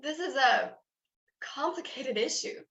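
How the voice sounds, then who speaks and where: A woman's voice: high-pitched, lively intonation, fairly bright tone, exaggerated voice. Woman as a commanding animal leader in an echoey room. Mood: stern, official. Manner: formal, commanding, deliberate. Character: authoritative female animal president of forest association. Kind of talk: staged reading acting.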